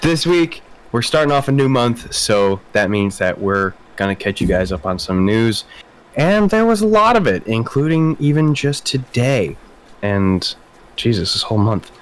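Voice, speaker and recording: A man's voice: medium pitch, very animated, normal speed, a little hiss or hum, poor audio. A young man co-hosting a casual movie podcast, upbeat and rattling off lists of release dates. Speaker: podcast co-host.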